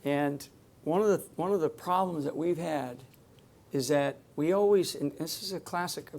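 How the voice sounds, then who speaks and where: Guy giving a talk on stage, kinda high voice, keeps restarting and pushing his points hard. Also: normal speed, a little mellow. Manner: emphatic, rambling, many restarts. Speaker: public speaker on security. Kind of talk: unscripted talk to a live audience. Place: on a stage before an audience.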